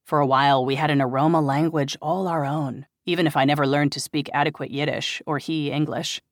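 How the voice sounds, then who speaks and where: A woman's voice: low-pitched, fairly even intonation, fairly quick, dry recording. Woman audiobook narrator, very dry studio sound.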